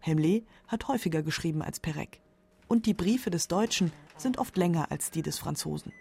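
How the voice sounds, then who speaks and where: A woman's voice: fairly low pitch, animated, brisk, gravelly, dark tone, slight background noise. A woman with pronunciation reading scripted narration for a literary radio documentary.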